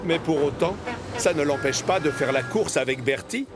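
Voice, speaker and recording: A man narrating a children's cartoon in a lively, expressive storytelling manner. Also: fairly high pitch, normal speed. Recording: narration over music.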